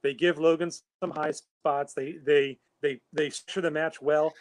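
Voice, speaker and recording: Measured man with a higher voice. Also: fairly even intonation, no room sound. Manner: measured, analytical. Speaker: co-host. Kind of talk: casual chat. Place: home, video call.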